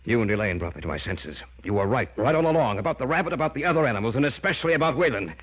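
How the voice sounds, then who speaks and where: A man's voice: medium pitch, big pitch swings, racing, slightly rough. Commanding, theatrical mad-scientist father. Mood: grave, remorseful. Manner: theatrical, emphatic. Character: obsessed scientist father, stubborn, commanding, later remorseful. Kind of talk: old-time radio horror acting. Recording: dull, hissy vintage radio recording.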